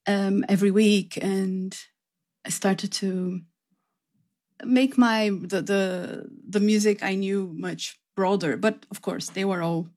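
A woman's voice: medium pitch, steady tone, slow, darkish tone. A woman from southern Brazil with a Brazilian accent, speaking conversationally about her own life as an interview guest. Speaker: interview guest, classical singer. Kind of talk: conversational personal storytelling.

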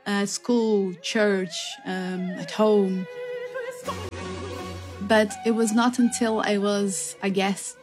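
A woman's voice: mid-range voice, little pitch movement, very slow. Brazilian lady being interviewed, chatty personal storytelling, music under her voice. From southern Brazil. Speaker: interview guest, classical singer.

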